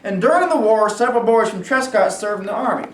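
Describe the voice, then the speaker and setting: A woman acts a self-assured Southern governor's son in a staged play, reasoning and persuading. The voice sits at a middling pitch, some way from the microphone in a roomy, echoing space. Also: animated, fast, close to an everyday voice. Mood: calm, assured. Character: confident, calculating adult son of a Southern governor. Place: live staged reading in a room. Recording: echoey room, distant from microphone.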